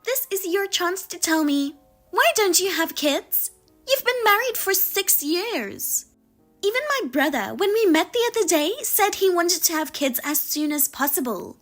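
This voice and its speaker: A woman voicing a sharp-tongued sister-in-law who talks down and needles, her voice pushed higher than her own. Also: sing-song, a little slow, soft background music, exaggerated voice. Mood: indignant. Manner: high-pitched, indignant and needling.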